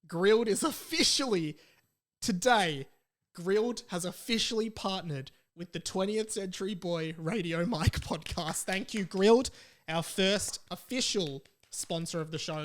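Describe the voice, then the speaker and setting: An Australian man chatting casually as a solo podcast host, telling stories in an animated, playful, mock-dramatic way. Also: high-pitched.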